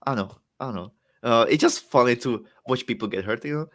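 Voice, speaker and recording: A man's voice: medium pitch, brisk. A young man from the Czech Republic with a Czech accent, a guest wrestler rambling and joking about himself in casual chat. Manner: rambling, self-mocking storyteller.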